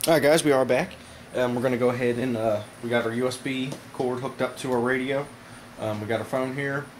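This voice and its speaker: A man giving a casual hands-on product demonstration to viewers, informal and halting, with frequent pauses. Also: mid-range voice, steady tone. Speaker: product reviewer, video host. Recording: noisy amateur camera audio.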